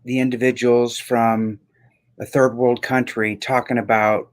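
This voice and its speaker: A man's voice: mid-range voice, little pitch movement, a little slow. A man co-hosting a podcast in casual, reflective conversation, earnest and given to repeating words for emphasis. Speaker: podcast co-host.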